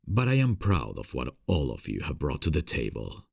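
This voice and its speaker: A man playing a gentle, encouraging theater director who is secretly smitten, in a breathy, gravelly scripted ASMR audio roleplay. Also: rumbling low, wildly swooping pitch, moderate pace, no echo, clean studio sound, exaggerated voice. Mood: proud, encouraging. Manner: gentle, encouraging, soft chuckles. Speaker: voice actor.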